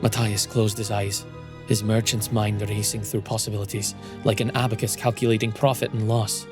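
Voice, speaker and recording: A man reading scripted dramatic fantasy narration as a storyteller, in a measured, solemn manner. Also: fairly low pitch, little pitch movement, over music.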